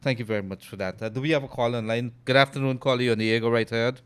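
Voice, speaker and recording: A Guyanese man hosting a call-in radio show. He reads out listener messages and comments on them with loud emphasis. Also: mid-range voice, rapid-fire, clear, resonant tone, no room sound. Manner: loud and animated on air, trails off and murmurs when aside. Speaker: radio host. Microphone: studio mic.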